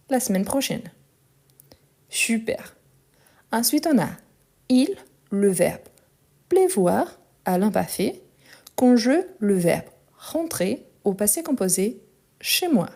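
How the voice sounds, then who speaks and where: A woman teaching French in a live online lesson, speaking slowly and clearly and repeating phrases for learners. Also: mid-range voice, darkish tone. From France and Brazil.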